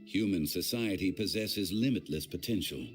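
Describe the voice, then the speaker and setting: An actor playing a composed, scholarly immortal gentleman in a video game, speaking formally and eloquently with a measured manner. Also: sits low, faint music behind, lightly acted. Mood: reflective. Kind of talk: video game character acting.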